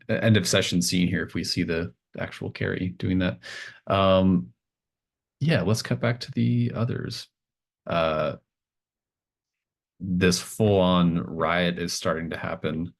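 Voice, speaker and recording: A man narrating a tabletop roleplaying game as game master, improvising in a halting, conversational way. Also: low-pitched, gravelly. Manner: halting, conversational, pieces scenes together aloud.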